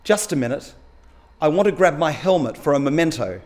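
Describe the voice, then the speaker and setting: A man's voice: a little high, expressive, a little fast, a little mellow, close to an everyday voice. A man reading the part of an injured, vengeful cyclist in a story, emphatic and colloquial. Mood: grateful.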